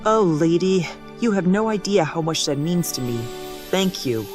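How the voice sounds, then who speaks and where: A woman voicing a gentle, emotional older male steam engine in a comic dub. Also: on the low side, expressive, moderate pace, lightly acted. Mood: moved. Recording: loud music behind.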